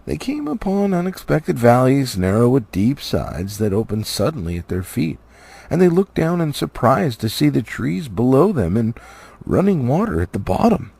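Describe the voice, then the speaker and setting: Highly animated, gravelly male storyteller. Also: normal speed. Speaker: amateur audiobook reader. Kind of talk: expressive storybook narration.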